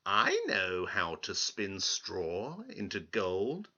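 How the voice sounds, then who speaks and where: A man's voice: sits low, huge pitch swings, extremely slow, sharp tone, dull audio, pitched below the performer's own voice, heavily acted. A man voicing a strange little fairy-tale bargainer, sly and teasing, drawing out his words. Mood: sly bargaining.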